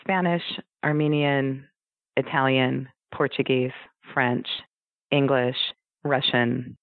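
A man's voice: medium pitch, level tone, slow pace, no room sound. A man being interviewed, fondly telling anecdotes about his late father. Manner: fond, anecdotal storytelling. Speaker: interview guest, child of a wrestler. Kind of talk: reminiscing interview answers. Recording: tinny phone line, dry.

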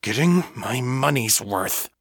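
A man's voice: on the low side, very animated, moderate pace, bright, forceful tone, breathy edge, no echo, clean studio sound, exaggerated voice. Man acting a snarling, violent male thug. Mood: tight anger. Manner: snarling, spitting his words. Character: violent, menacing male thug with telekinesis, raging.

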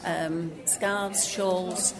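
A woman's voice: fairly low pitch, steady tone, drawn-out words. A woman, a knitter and spinner, answering questions plainly at an outdoor festival. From Leeds, England. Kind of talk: casual interview chat. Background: crowd chatter.

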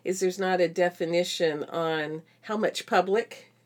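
Middle-aged woman co-hosting a podcast, voice a bit low, informal and agreeable. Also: unhurried. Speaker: podcast co-host. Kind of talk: casual educational podcast chat.

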